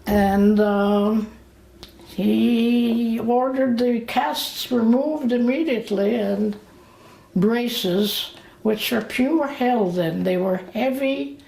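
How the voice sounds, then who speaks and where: A woman in her late eighties being interviewed about her memories. She talks haltingly, with many fillers and pauses. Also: on the high side, creaky, dark tone. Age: late 80s. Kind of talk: slow, reflective memories. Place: indoors. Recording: roomy echo.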